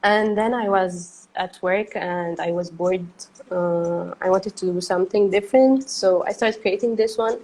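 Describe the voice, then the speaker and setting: A woman from Egypt, speaking softly and hesitantly as a guest artist on a video call, with many fillers and easy laughter. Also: mid-range voice, a little slow, few pauses, slight background noise, poor audio. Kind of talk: casual video-call interview.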